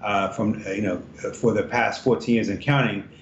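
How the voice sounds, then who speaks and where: A man from Ethiopia answers interview questions, stopping and restarting often with many fillers. His voice sits a bit low. He sounds like he is in an echoey room on a dull, muffled line with a faint rumble underneath. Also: steady tone, normal speed. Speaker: congressional candidate guest.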